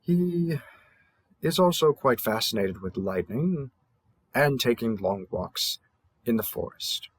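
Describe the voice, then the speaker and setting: A man's voice: medium pitch, lively intonation, no room sound. A man playing a cheerful, polite dating-app host in a scripted audio roleplay, with a courteous, presenter-like delivery. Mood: wry, informative. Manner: courteous, measured, salesman-like welcome delivery.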